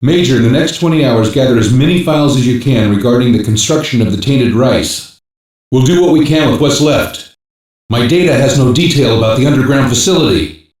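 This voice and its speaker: A man's voice: mid-range voice, fairly quick, a little mellow, lightly acted. Echoey, businesslike male cyber-police hacker played by a man. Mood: serious, focused. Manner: matter-of-fact, clipped technical reporting. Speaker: voice actor in an English dub. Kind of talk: scripted video game voice lines, mission briefing. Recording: very echoey, distant-sounding game audio capture.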